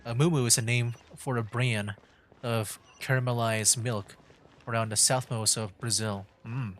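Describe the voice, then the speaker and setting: A man's voice: mid-range voice, steady tone, measured pace, a little hiss or hum. A man hosting a game livestream with casual commentary, musing aloud in a rambling way. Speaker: livestream gamer host.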